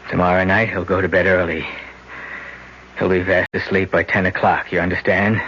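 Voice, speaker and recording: A man's voice: flat delivery, normal speed, a bit bright, slight background noise. An actor playing a scheming young man who narrates and coaxes his sister, in an old radio drama. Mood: coaxing, hypnotic. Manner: low, insistent, coaxing then drunk and rattled. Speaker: narrator and lead character. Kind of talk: 1970s radio drama acting. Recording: dull lo-fi old radio broadcast with music.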